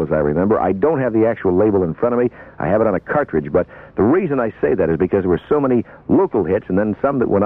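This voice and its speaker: Fast-talking raspy radio DJ. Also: male voice, lowish voice, dry recording. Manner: chatty, rambling recollections with fillers. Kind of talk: oldies radio patter. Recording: tinny narrow-band radio aircheck.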